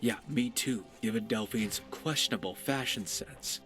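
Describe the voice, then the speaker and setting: A man's voice: mid-range voice, a little slow, faint music behind, close to an everyday voice. A man voicing a sardonic but loyal pirate companion in a fantasy video game, with a dry delivery. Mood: wry, concerned. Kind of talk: scripted fantasy game dialogue.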